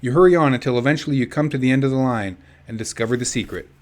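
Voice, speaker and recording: A man's voice: medium pitch, very fast. A man reading a book aloud in an informal, chatty way with casual asides. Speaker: reader of an activist book.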